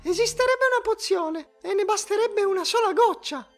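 A tiny, pert cartoon creature with an extremely high voice. It speaks in a knowing, exclaiming way with music behind it. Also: female voice, normal speed. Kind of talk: children's cartoon character acting.

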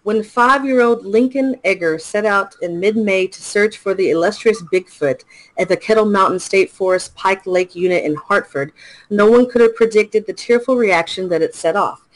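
A man's voice: high-pitched, moderate pace, a bit bright, rough recording. A man co-hosting a casual podcast, reading a news story aloud with small slips and self-corrections. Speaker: podcast co-host.

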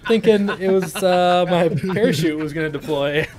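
A man's voice: sits high, normal speed, a crowd in the background. A man chatting casually while gaming, with a laid-back, joking manner. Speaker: gamer, new player. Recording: noisy game voice chat.

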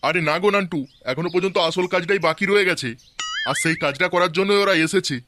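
A man voicing excitable village fathers and neighbours in a comic Bangla cartoon, chatty and theatrical. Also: sits high, fast, music in the background, natural voice. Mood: bantering.

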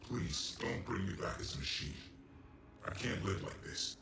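A man's voice: deep voice, animated, measured pace, gravelly, exaggerated voice. A man voicing a solemn, duty-bound cyborg ninja in a video game, with formal speech and a metallic robot effect. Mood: anguished, resigned. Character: male cyborg ninja, duty-bound, anguished at being a machine. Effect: metallic robot voice processing. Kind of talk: cinematic video game acting. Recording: echoey, distant, under loud music.

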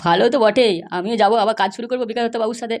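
Man playing a fast, high-voiced grumbling gang underling. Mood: swaggering. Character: grumbling, swaggering male gang underling, loyal but doubtful. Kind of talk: comedy cartoon dialogue.

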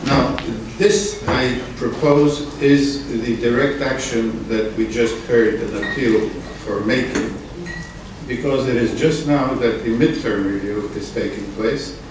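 A man's voice: mid-range voice, fairly even intonation, takes it slowly. Middle-aged man from Bulgaria in an echoey hall. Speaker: member of parliament. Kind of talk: formal remarks at a public assembly. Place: large conference room. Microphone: table gooseneck microphone. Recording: echoey room from far off, noisy.

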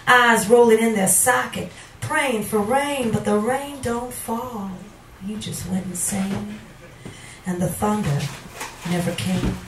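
A middle-aged woman reading poetry live in a theatrical, deliberate manner into a handheld microphone in a small bar room. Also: fairly high pitch. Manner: theatrical, slow and deliberate when reciting. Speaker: poet, featured reader. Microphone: handheld microphone, captured by a camera in the room. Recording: roomy, noisy bar recording from a distance.

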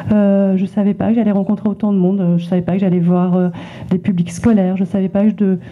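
Woman illustrator chatting at a library event, lots of restarts, thin tinny sound. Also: medium pitch. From France. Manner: hesitant, many repetitions and restarts. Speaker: illustrator and author at a library talk. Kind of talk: public talk, presenting her work. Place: library event room with small audience. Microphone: handheld microphone passed around.

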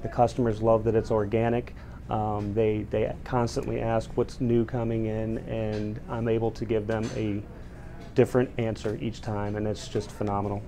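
A chef in his thirties gives an interview testimonial. His male voice is mellow and dark, with little melodic movement. Restaurant ambience is audible behind him. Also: fairly low pitch, level tone, moderate pace. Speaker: chef, customer giving a testimonial. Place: restaurant dining room. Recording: clearly audible restaurant background noise.

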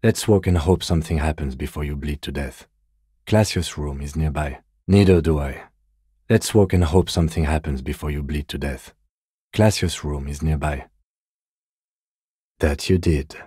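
An actor playing a composed, weary police detective in a video game, with dry, deadpan, measured delivery. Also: male voice, a bass voice, slightly rough, dry recording. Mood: weary, matter-of-fact.

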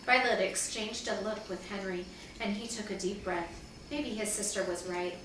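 A woman's voice: mid-range voice, normal speed. Echoey, distant woman reading a children's story. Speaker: teacher reading aloud to pupils. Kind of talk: storybook read-aloud for children. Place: living room at home, indoors. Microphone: far camera. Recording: distant mic, very echoey room, noisy.

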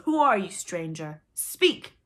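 A woman's voice: fairly low pitch, sing-song, slow, fairly bright tone, full character voice. A woman voicing a proud, menacing male pirate captain in a commanding, theatrical storybook manner. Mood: alarmed, demanding.

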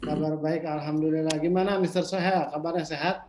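A man's voice: fairly high pitch, steady tone, fast, darkish tone. A man with an Indonesian accent, a college vice chairman, chatting politely on a video call from his office. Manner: friendly small talk, polite greetings. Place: office, indoors. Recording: roomy video call audio with faint noise.

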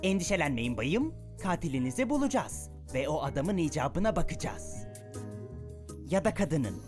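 A woman's voice: low voice, sing-song, moderate pace, over music. A woman dubbing a nervous, fussy young male imp assassin who talks earnestly and formally in a cartoon. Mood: earnest, eager. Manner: earnest, formal, anxious over-planner. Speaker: dub actor. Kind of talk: fan dub of an animated series.

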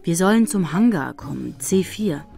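A serious female investigator in a radio crime drama. Her voice sits a little low, brooding and questioning, over prominent guitar music. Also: expressive, moderate pace, vocal fry. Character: pensive, serious adult female investigator and narrator.

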